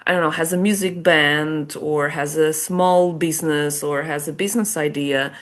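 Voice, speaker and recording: Portuguese-accented woman, lowish voice. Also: steady tone, moderate pace. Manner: chatty, explanatory, many restarts and small laughs. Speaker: guest author and business development head. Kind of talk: casual interview talk.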